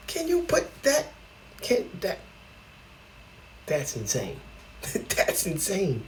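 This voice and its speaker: A man's voice: sits high, fairly bright tone, a little hiss or hum, rough recording. A man, hosting a casual reaction video, chatty and laughing, often exclaiming in disbelief. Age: 40s.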